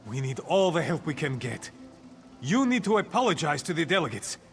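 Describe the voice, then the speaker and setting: An aging, loyal fantasy game thane. His voice is high and urgent as he counsels earnestly, with faint music behind. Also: sing-song, a little fast. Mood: urgent, pleading. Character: old, loyal, sensible male Nord thane pleading earnestly. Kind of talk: video game dialogue acting.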